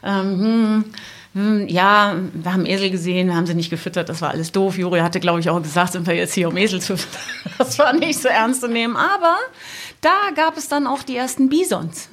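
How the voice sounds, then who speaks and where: A woman's voice: mid-range voice, fairly quick, a bit bright, a little hiss or hum. A middle-aged woman casually telling anecdotes as a podcast guest, thinking aloud with an amused manner. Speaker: podcast guest, motorcycle traveller.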